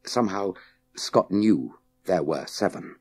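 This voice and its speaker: Deep-voiced, slow, expressive male storyteller. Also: husky. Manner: measured, suspenseful, dramatic pauses. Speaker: audiobook narrator. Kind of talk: scripted dramatic narration of a children's sci-fi story.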